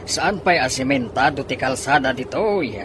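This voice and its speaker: A man's voice: medium pitch, expressive, moderate pace, lightly acted. A man playing a bossy, impatient, well-off businessman father with a brusque manner, in a radio drama. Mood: businesslike. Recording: clearly noisy, vehicle ambience behind.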